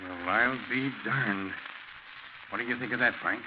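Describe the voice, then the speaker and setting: Actor as folksy gruff lawman, American, mid-pitched, brisk, 1940s radio drama, dull hissy recording. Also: close to an everyday voice. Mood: grave, matter-of-fact. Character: gruff, matter-of-fact male police sergeant.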